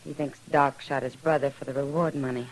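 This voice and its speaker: A worldly American saloon woman, weary but loyal. She speaks in a frank, measured way. The acting is that of a 1950s radio western. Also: a bass voice, fairly even intonation, a little hiss or hum, rough recording.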